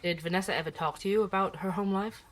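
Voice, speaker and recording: A woman playing a composed investigative journalist in an audio drama, asking measured, probing questions. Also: mid-range voice, close to an everyday voice. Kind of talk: audio drama acting as podcast interview.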